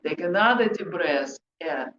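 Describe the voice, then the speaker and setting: Female workshop presenter, non-native English, very lively intonation, unhurried emphatic phrasing, muffled noisy recording. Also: on the low side. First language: not English. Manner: emphatic, questioning, short deliberate phrases. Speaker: presenter, hypnotherapist. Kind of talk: interactive talk to a group. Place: room with an audience, indoors. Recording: echoey, muffled room recording with background noise.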